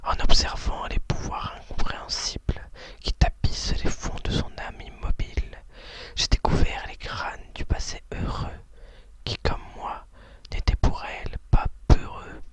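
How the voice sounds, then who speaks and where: Whispering woman, slow ASMR voice. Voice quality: breathy, unvoiced whisper. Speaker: ASMR creator and host. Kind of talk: ASMR, whispered talk and poetry reading.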